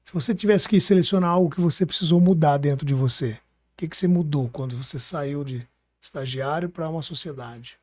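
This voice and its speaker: A man's voice: on the high side, a little fast, darkish tone, dry recording. A man with a Brazilian accent hosting a podcast in a casual, colloquial way, often checking for agreement with short tag questions. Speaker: podcast host. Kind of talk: casual chat, interviewing.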